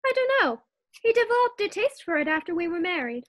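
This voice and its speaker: A woman's voice: extremely high pitch, big pitch swings, quick pace, a bit bright, exaggerated voice. A woman playing a perky, exasperated housewife with emphatic comebacks in an old-time radio sitcom. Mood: bright.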